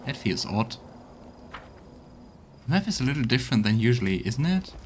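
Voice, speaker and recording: A man's voice: mid-range voice, sing-song, moderate pace, a little hiss or hum. A man giving a casual let's play commentary, thinking aloud as he goes. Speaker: game streamer.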